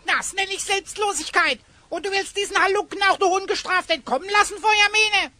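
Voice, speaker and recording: Sehr hoher, greller, frecher Kumpan. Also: female voice, extremely high pitch, fairly quick, sharp tone. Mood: indignant. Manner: indignant, sarcastic. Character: cheeky, food-loving, easily offended cartoonish sidekick Queck. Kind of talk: children's radio play acting.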